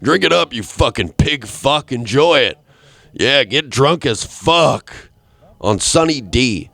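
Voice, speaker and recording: A man in his twenties hosting a podcast, ranting in a rambling, profane and deadpan way. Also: medium pitch, slow pace, creaky, dry recording. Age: 20s. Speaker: podcast host. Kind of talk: solo comedic rant.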